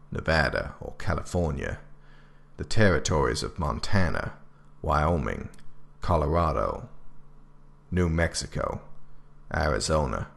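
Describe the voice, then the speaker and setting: A grave, commanding government official narrates a nineteenth-century handbook in an audio drama. His voice is extremely deep and gravelly, with swooping, oratorical emphasis and formal, measured phrasing. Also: full character voice. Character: grave, authoritative male director writing a 19th-century government handbook.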